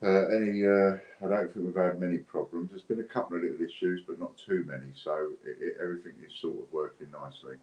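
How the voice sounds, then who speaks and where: Deep-voiced British man hosting a livestream. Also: steady tone, fast talker, few pauses. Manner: chatty, informal, rambling. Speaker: giveaway livestream host. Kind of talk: casual unscripted livestream chat. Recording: dull lo-fi, roomy echo, clear background noise.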